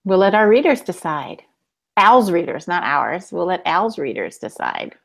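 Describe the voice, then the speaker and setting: A woman's voice: lowish voice, big pitch swings, measured pace, gravelly. A woman co-hosting a podcast, chatting casually with the others. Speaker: podcast co-host. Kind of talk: casual chat.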